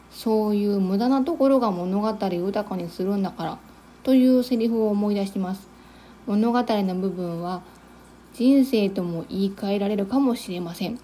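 A woman's voice: mid-range voice, warm tone, a little hiss or hum. A woman co-hosting a casual chat, polite and agreeable in the way she talks and reads. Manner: agreeable, frequent short acknowledgements, polite. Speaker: co-host, amateur theatre member. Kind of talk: casual chat and listener-letter reading.

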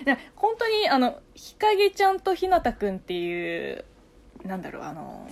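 A young woman, a native Chinese speaker, chatting casually with a friend, talkative, animated and quick to laugh. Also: on the high side, gravelly. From China. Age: young adult. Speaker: radio talk host, amateur voice actor. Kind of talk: casual chat between friends.

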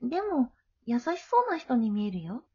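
Woman doing a sweet polite middle school girl for a voice drama, real high voice, bit muffled lo-fi. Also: sing-song, moderate pace, mellow, slightly rough. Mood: friendly, kind. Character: gentle, studious middle-school girl, friendly and mature. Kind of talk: amateur voice drama acting.

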